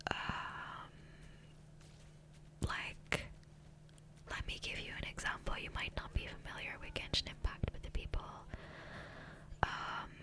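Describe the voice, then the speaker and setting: A woman whispering gently in a casual, unhurried ASMR ramble, close to the microphone. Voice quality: soft unvoiced whisper. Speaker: ASMR gaming creator. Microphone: close USB condenser microphone.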